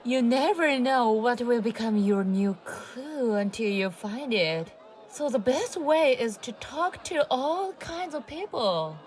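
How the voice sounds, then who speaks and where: A woman voicing a kind, easygoing young yokai woman in a radio drama, polite and gently chiding. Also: on the high side, lively intonation, slow. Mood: friendly, chiding.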